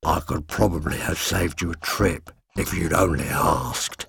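Huge shaggy storybook monster: low, gruff, slow-talking, drily sarcastic. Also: male voice, a bit bright, dry recording, clean studio sound, full character voice. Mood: gruff, casual.

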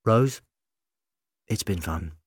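A man voicing a quick-witted, emphatic adventurer doctor. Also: medium pitch, sing-song, a bit bright, no room sound, clean studio sound. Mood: quiet, sad. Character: adult male doctor, quick-witted adventurer, defiant yet kind.

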